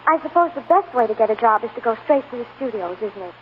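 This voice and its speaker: An earnest young farm girl played by an actress with a high, emotional voice and a halting, hopeful way of talking. It is 1940s radio drama on a narrow, tinny recording. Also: animated, slight background noise, lightly acted. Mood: hopeful, shy.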